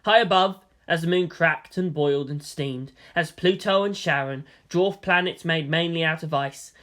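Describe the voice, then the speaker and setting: High-voiced man narrating a story. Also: fairly bright tone. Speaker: reader of a fan fiction story.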